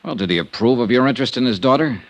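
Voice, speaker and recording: Fast, hard-boiled American radio investigator played by a man. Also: medium pitch, muffled recording, close to an everyday voice. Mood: probing. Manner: clipped, needling, wry, pressing questioner. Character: brisk, hard-boiled male freelance insurance investigator. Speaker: radio drama lead actor. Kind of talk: Golden Age American radio detective acting.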